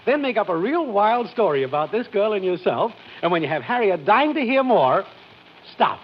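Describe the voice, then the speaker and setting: A wry american neighbour buddy, high bouncy voice, needling, old dull radio recording. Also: male voice, sing-song, normal speed, slight background noise. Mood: scheming. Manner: dry, needling, folksy. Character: wry, teasing, self-pleased male neighbour and pal. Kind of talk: 1940s radio sitcom acting.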